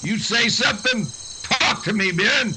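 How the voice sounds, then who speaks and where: A man playing a stern, wry frontier marshal in a western radio drama, steady and unyielding. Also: high voice, measured pace, heavy background noise. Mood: challenging.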